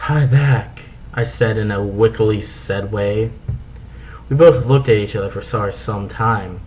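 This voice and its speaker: A man's voice: on the low side, fairly even intonation, a little slow, a little mellow, a little hiss or hum. A man narrating fan fiction as a comic dramatic reading, theatrical and mock-serious.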